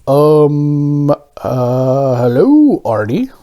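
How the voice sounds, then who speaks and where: A man reads the part of a flustered, ordinary fellow in a children's storybook. He is sheepish and hesitant, stumbling over his words in a soft-edged, mellow voice. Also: fairly high pitch, big pitch swings, very slow, full character voice. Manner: hesitant, stammering. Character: sheepish, stammering ordinary man, a doughnut eater.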